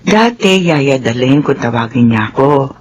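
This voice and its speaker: A woman's voice: extremely low pitch, animated, unhurried, husky, full character voice. A woman acting as a devoted, long-suffering middle-aged widowed nanny and mother in a Filipino radio drama. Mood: earnest, resigned. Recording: dull, noisy radio drama recording.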